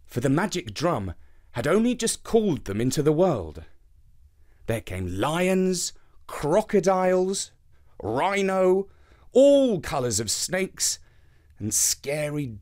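A man narrating a scripted children's story in a theatrical, expressive way. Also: a little high.

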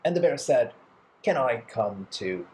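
A man in his thirties narrating a children's storytime playfully and theatrically, with deliberate phrasing. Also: mid-range voice, big pitch swings, a little mellow, slight background noise. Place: bright living room indoors.

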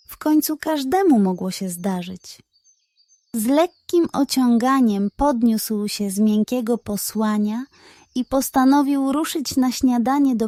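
A woman storyteller narrating a scripted children's bedtime tale in a gentle, expressive manner. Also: a little high, dry recording.

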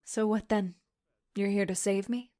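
A woman's voice: medium pitch, steady tone, unhurried, warm tone, no room sound, studio quality, close to an everyday voice. An actress playing a guarded, bitter woman in an audio drama, speaking in a sarcastic, curt, profane way. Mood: defiant. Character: Florence, guarded, bitter adult woman, wary school survivor. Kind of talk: naturalistic audio drama acting.

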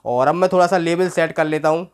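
Guy showing off audio gear, kinda high voice, explains stuff step by step to his friends watching. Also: fast talker, clear, resonant tone. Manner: repetitive, explanatory, addressing viewers as friends. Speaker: presenter demonstrating audio equipment for sale. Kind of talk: casual product demo narration.

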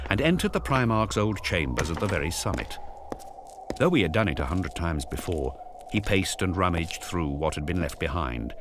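A man giving scripted dramatic narration, grave and storytelling, with deliberate pauses. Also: sits low, noisy background.

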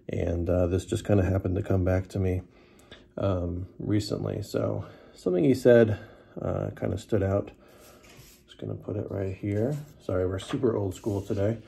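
A man giving a relaxed, casual history lesson to the camera, with many fillers, unhurried. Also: low voice, vocal fry, breathy edge. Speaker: teacher.

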